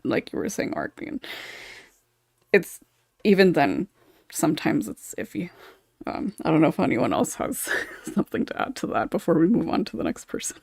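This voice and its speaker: Woman, lowish creaky voice, dry close sound, casual podcast discussion, thinking aloud. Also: sing-song, normal speed, long pauses, darkish tone, no echo. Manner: hedging, conversational, thinking aloud. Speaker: podcast panelist.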